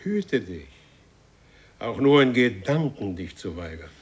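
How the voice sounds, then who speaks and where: An actor playing an imperious warrior from a future race in a radio play, with a commanding, grandiose and menacing way of speaking. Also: male voice, lowish voice, sing-song, takes it slowly, husky, heavily acted. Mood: threatening. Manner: commanding, grandiose, solemnly threatening. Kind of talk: German radio play acting.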